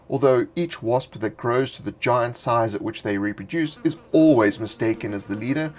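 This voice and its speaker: A man reading a fantasy monster entry from a tabletop game book in a dry, playful storytelling manner with wry asides. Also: mid-range voice. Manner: louder and more excited in the closing address. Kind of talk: scripted narration of a fantasy bestiary entry.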